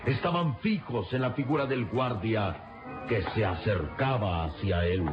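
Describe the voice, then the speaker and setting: Dramatic male radio narrator over loud music. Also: on the low side, a little slow, muffled recording. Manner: solemn, emphatic, suspenseful. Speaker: narrator of adventure radio serial. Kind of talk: dramatic scripted narration, old radio serial.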